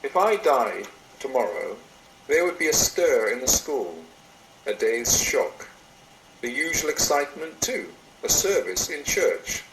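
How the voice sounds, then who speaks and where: A man reads a sermon-like meditation aloud in a measured, solemn way with deliberate phrasing, recorded into a computer in a room. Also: medium pitch, big pitch swings. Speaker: reader of a meditation written by another. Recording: roomy, noisy amateur computer recording.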